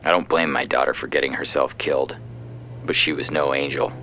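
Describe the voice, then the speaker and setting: A man playing a callous, self-important middle-aged war secretary in audio fiction, gravelly and coldly officious as he makes a report. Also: extremely low pitch, flat delivery, brisk, noisy background. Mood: cold, self-justifying. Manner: cold, officious, matter-of-fact. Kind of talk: audio fiction reading as a phone call.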